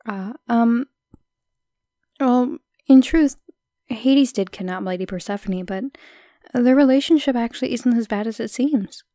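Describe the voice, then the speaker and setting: A young woman playing a shy, friendly, modest minor goddess in an audio roleplay, chatting hesitantly with soft asides. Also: fairly high pitch, unhurried, pauses often, dry recording, clean studio sound, natural voice. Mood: gossipy, careful.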